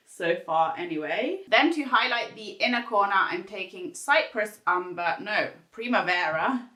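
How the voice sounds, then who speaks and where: A woman's voice: mid-range voice, pushed and bright, roomy sound. A woman vlogger talking casually through a makeup tutorial, chatty and rambling with lots of filler words. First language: English. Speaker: beauty vlogger.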